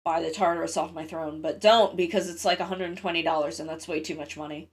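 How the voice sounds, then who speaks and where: A woman's voice: low voice, fairly even intonation, fast, breathy edge. A woman with a congested, sniffly nose chats casually to livestream viewers, rambling, interrupting herself and swearing freely.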